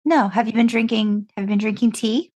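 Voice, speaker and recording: A woman's voice: on the high side, steady tone, fairly quick, darkish tone. A woman co-hosting a podcast in casual chat, mostly listening and giving short, supportive replies. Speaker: podcast co-host.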